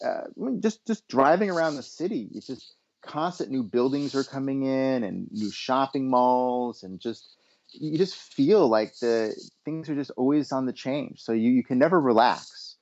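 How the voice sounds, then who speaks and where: A middle-aged American man from Los Angeles, answering interview questions as a consultant in a measured way with hesitant restarts. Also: mid-range voice, lively intonation, darkish tone. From Los Angeles, USA. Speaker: guest, education consultant. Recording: dull lo-fi sound.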